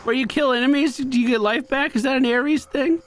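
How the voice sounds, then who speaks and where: A man's voice: very high-pitched, fairly even intonation, fairly quick, slight background noise. A man giving casual running commentary as he plays a video game, talking to himself with exasperated exclamations and swearing. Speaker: gaming video creator.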